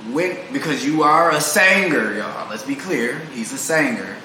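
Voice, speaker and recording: A man with a bright, forward voice hosts a casual interview. He talks in a playful, slangy way. The room is very echoey, with faint noise underneath. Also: mid-range voice, measured pace. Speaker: podcast co-host.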